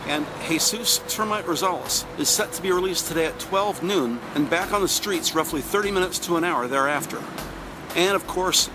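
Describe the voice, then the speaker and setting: Higher-pitched male audio drama narrator. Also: noisy background. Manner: dramatic, present-tense storytelling.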